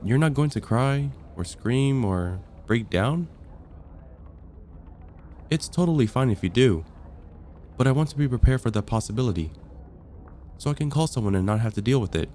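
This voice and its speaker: A man playing a composed, matter-of-fact vampire counselor in an ASMR roleplay, speaking in a measured, clinical way. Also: medium pitch, expressive, warm tone, slight background noise, lightly acted. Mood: puzzled, skeptical.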